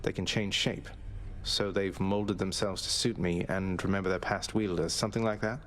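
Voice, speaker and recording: Low-voiced wry young prince. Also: male voice, fairly even intonation, normal speed, a bit bright. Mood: friendly, wry. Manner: dry, courteous, understated. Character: polite, wry, defiant young prince of the Underworld. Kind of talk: video game character acting.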